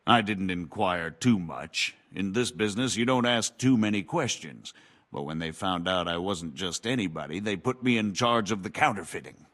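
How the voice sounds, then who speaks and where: Game cutscene: a wheedling jailed knight, low voice, very lively pitch. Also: male voice, moderate pace. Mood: sly. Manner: sly, wheedling, self-pitying. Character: scheming imprisoned robber knight, older man. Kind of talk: video game cutscene acting.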